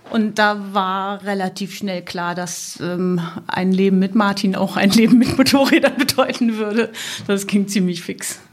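A woman's voice: medium pitch, steady tone, measured pace. Female guest, fifties, mid-range voice, casual conversational delivery. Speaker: podcast guest. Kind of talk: casual chat. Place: living room.

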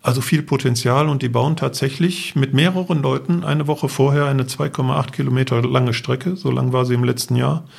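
A man with a mid-pitched, gravelly-edged voice talks as an interview guest. He explains things in a matter-of-fact, detailed way, in a conversational storytelling style. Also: fairly even intonation, a little fast, hardly any pauses. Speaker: interview guest, enduro event organizer; architect for the city.

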